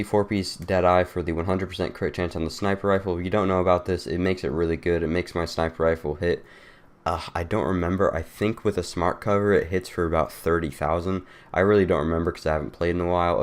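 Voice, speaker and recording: A young man with a congested, nasal voice from a cold, casually walking through a game build with loose, rambling talk full of fillers. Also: low-pitched. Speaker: gaming YouTuber. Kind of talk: casual game guide walkthrough.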